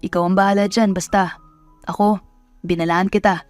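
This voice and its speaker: A woman's voice: medium pitch, steady tone, normal speed, no room sound, soft background music, close to an everyday voice. Woman reading as a blunt, cautioning friend. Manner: matter-of-fact, admonishing.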